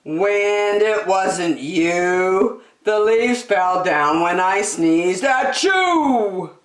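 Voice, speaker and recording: Slow, stubborn big storybook bear played by a woman. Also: medium pitch, expressive. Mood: stubborn, earnest. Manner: drawn-out, earnest storybook delivery. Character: big male bear, stubborn, naive, woeful. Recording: roomy, echoing sound.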